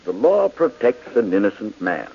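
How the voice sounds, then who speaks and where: A man's voice: lowish voice, very animated, normal speed, creaky, darkish tone, husky, full character voice. An actor playing a smug, self-satisfied wealthy man in a 1940s radio drama, from a dull old broadcast.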